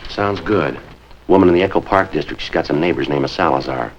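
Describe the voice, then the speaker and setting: An actor playing a terse, businesslike police sergeant in a 1950s crime drama, clipped and matter-of-fact. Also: male voice, very deep voice, brisk, slight background noise. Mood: deadpan, businesslike. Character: terse, businesslike male police sergeant narrating his case. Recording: dull vintage 1950s soundtrack, music behind.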